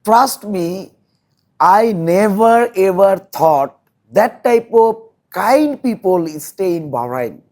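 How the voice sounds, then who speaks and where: Slow-talking Bangladeshi man, earnest and halting. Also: high-pitched, expressive, darkish tone. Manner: halting, repeats phrases, earnest. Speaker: interview guest, volunteer social worker. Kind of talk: earnest interview talk in non-native English.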